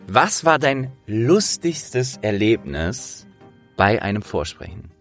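A man's voice: on the low side, big pitch swings, takes it slowly, fairly bright tone, faint music behind. A man hosting a casual interview, talking in a rambling, storytelling way.

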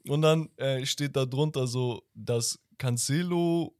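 A man's voice: mid-range voice, a little mellow, clear, resonant tone, no echo. Laughing young man on a dry podcast mic. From Turkish background. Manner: laughs often, talks in quick bursts. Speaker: podcast co-host. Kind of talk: casual football podcast chat.

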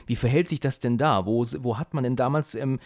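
A man's voice: mid-range voice, fairly quick, a little mellow, no echo, studio quality. A man hosting an interview, asking questions hesitantly with many fillers and agreeing often. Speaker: interviewer, host. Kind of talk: interview, casual chat.

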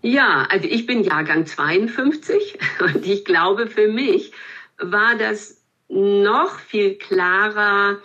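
Husky older woman, measured explainer. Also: medium pitch, pushed and bright, highs cut off. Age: 70s. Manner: thoughtful, measured, with frequent hesitations. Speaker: guest expert. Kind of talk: interview answer, explanatory.

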